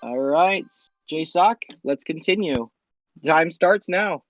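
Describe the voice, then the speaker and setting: High-voiced male quizmaster. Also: expressive, lo-fi sound, soft background music. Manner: brisk, formal quizmaster delivery. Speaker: quiz show host. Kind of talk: reading quiz questions.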